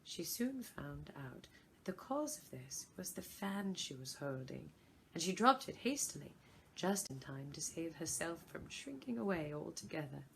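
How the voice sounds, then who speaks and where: Animated woman reading a storybook. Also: low-pitched, unhurried, gravelly, a little hiss or hum, rough recording. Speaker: volunteer story reader. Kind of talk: expressive storybook narration.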